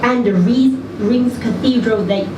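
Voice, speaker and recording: A woman reading a scripted first-person story at a live event, with measured, dramatic phrasing, deliberate pauses and a wry delivery. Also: on the high side. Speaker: reader at a live literary event. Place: indoor event venue, live before an audience. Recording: roomy, distant live recording, loud room noise.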